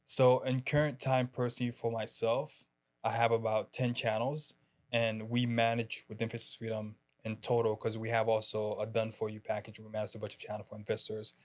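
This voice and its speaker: A man in his twenties from Haiti, a podcast guest who talks softly in casual chat and laughs often. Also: on the low side, flat delivery, fairly quick. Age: 20s. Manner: soft-spoken, laughs often, hesitant fillers.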